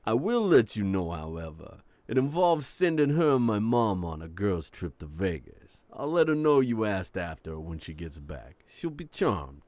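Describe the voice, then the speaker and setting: A man's voice: lowish voice, very animated, a little mellow, no room sound. A man playing a charming, cocky young mafia boss in a romantic audio roleplay, teasing and playful as he talks. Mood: smug, affable. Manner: playful, teasing, smooth-talking with drawn-out words.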